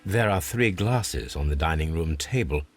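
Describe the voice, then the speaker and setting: An actor voicing a calm, precise gentleman detective who speaks in a measured, deliberate way in a video game. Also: very deep voice.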